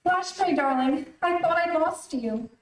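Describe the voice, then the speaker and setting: Woman playing high, plaintive leading lady, echoey. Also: unhurried. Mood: distraught. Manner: plaintive, melodramatic. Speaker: amateur radio play actor. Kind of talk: old-time radio acting. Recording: echoey, distant streamed stage audio.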